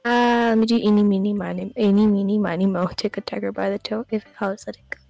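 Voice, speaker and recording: A woman's voice: mid-range voice, fairly even intonation, fast, creaky, a little mellow, no room sound, soft background music. Giggly young woman, dry close sound. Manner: giggly, teasing. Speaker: guest co-streamer. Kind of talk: casual chat and reading aloud.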